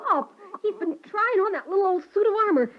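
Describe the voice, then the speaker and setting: An eager, impish American kid, talks in a high voice with lively swings. It has the style of a 1950s radio play, on a dull vintage recording. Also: female voice, fast talker. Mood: excited. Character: mischievous, eager young American boy. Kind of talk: 1950s radio drama acting. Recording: muffled 1950s radio broadcast.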